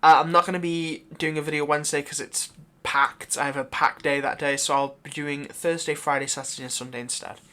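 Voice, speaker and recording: A man's voice: fairly high pitch, little pitch movement, fairly quick, hardly any pauses, pushed and bright. A man hosting his own channel, giving a casual, unscripted update in a rambling, hesitant way. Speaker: channel host, audio roleplay creator.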